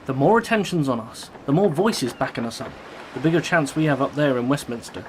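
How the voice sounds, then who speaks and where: An actor plays a sentimental Cornish fisherman in a radio drama. He is loyal and sincere, with a mid-pitched, plain-spoken delivery. A little noise lies behind the voice. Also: lively intonation, fairly quick, lightly acted. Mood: earnest. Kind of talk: radio play acting.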